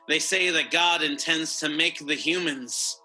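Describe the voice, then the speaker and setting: A man's voice: a little high, measured pace, bright, forceful tone, heavily acted, rough recording. An actor playing a shaken old angel in a radio play, delivering his words with grave urgency. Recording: radio play recorded over video call, music behind.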